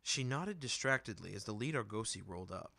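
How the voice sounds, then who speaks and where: Bright-voiced male fantasy storyteller, dry recording. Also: fairly low pitch, animated, fairly quick, creaky, no echo. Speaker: audiobook narrator. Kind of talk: expressive scripted fantasy fiction narration.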